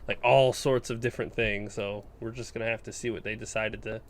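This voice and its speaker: A man's voice: fairly low pitch, steady tone, a little fast. A man co-hosting a gaming podcast, talking in a casual, conversational style. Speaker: podcast co-host.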